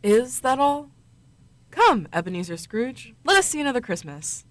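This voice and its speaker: A woman's voice: fairly high pitch, very animated, dry recording. A young woman playing the Ghost of Christmas Past in a radio drama, solemn, commanding and formal as she probes with questions. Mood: probing. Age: young adult. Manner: formal, measured, probing. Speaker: student actor.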